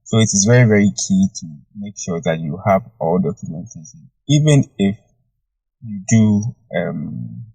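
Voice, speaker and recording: A man's voice: on the low side, big pitch swings, moderate pace, gravelly, warm tone, breathy edge, dry recording, rough recording. A man speaking as a guest on a live audio chat, working through his point step by step with some ums. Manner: methodical, builds points step by step. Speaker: guest panelist. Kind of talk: casual discussion.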